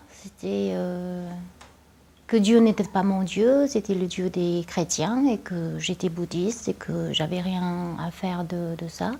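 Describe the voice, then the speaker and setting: Soft-toned woman from Vietnam, halting. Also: mid-range voice. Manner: hesitant, many fillers and restarts. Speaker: interviewee. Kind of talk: personal testimony.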